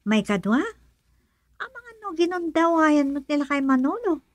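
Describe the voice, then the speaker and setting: An actress playing a frank female confidante in a radio drama, expressive, with a Filipino accent. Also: sits high, moderate pace, dry recording. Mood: explaining. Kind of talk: Ilocano radio drama acting.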